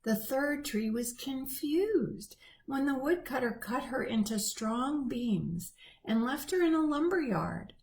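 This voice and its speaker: A woman reading a picture book aloud to children, gently and expressively. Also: high voice, expressive, slow pace. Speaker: church children's storyteller.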